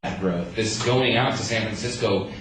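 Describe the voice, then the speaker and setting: A man's voice: on the low side, moderate pace, fairly bright tone, slight background noise. A man giving an earnest speech as a community organizer, with many restarts. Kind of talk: speech at a rally. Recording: echoey room, speaker far from mic.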